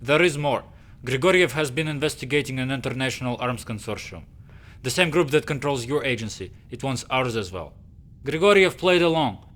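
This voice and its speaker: An actor playing a grave, formal Russian intelligence officer in a video game cutscene, with stiff, measured phrasing. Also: male voice, mid-range voice, expressive, fairly bright tone, natural voice.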